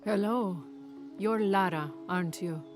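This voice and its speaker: A woman's voice: medium pitch, lightly acted. A woman playing a wise, cryptic outcast shopkeeper in a video game, musing philosophically with serene amusement. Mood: serene, amused. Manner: slow, musing, rambling philosophically. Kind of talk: video game cutscene acting. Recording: music under the voice.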